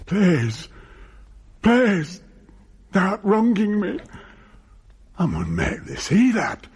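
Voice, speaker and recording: An actor voicing an anguished, threatening male ghost in a radio play, pleading in drawn-out archaic Northern English dialect with a husky voice. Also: high voice, wildly swooping pitch, darkish tone, exaggerated voice. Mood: desperate pleading. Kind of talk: radio drama acting.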